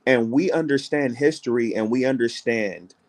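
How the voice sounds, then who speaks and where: A man's voice: mid-range voice, normal speed. An American man co-hosting a casual call-in show, building his point through repeated rhetorical questions. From United States. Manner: builds an argument with repeated rhetorical questions and acts out other people's voices. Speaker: travel show co-host.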